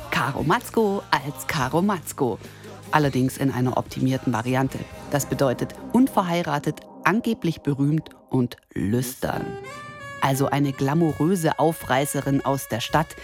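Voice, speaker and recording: Ironic woman in her late thirties, music underneath. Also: a bass voice. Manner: ironic, self-mocking. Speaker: radio columnist and presenter. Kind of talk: scripted first-person satirical monologue.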